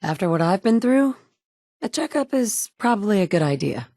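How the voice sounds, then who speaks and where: A woman's voice: mid-range voice, big pitch swings, moderate pace, warm tone, no echo. A woman voicing a tough, sardonic survivor in a wasteland, talking in a dry, blunt way with sarcastic asides, recorded close up in a studio booth. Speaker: player character. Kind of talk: video game voice acting. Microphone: close studio mic.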